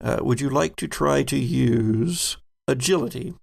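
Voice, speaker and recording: Guy game master, really creaky gravelly voice, narrating on the fly, average pitch. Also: measured pace. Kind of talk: improvised narration of a tabletop game.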